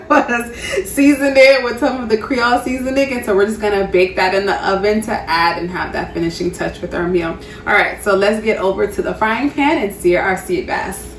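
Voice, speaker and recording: A woman's voice: medium pitch, gravelly. A woman hosting a cooking show, narrating a recipe in a chatty, upbeat way with casual slang and frequent laughs.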